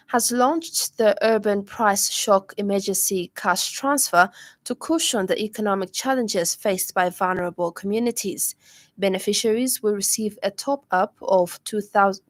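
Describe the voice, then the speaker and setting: A woman's voice: mid-range voice, dry recording. A woman with a Zambian accent formally reading a scripted radio news bulletin in a studio.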